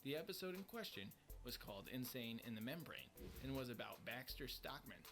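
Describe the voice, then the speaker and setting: A man's voice: medium pitch, very animated, fairly quick. A man reading scripted countdown narration as the narrator of a list video. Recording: music bed under the voice. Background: background music.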